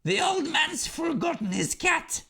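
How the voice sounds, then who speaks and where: A small, mischievous storybook troll, pitched far above a natural male voice. The delivery is loud and taunting, full of screamed, jeering cries. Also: big pitch swings, measured pace, full character voice. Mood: gleeful taunting.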